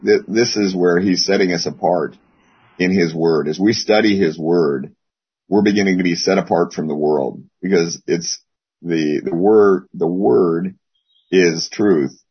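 A hoarse man losing his voice, teaching a Bible class in a rambling way with many pauses. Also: low-pitched. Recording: dull lo-fi audio.

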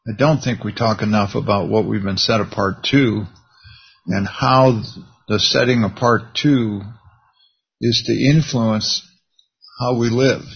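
A man's voice: on the low side, steady tone, measured pace, vocal fry, husky, lo-fi sound. A man joining a class discussion with a thoughtful, reflective comment. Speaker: class member.

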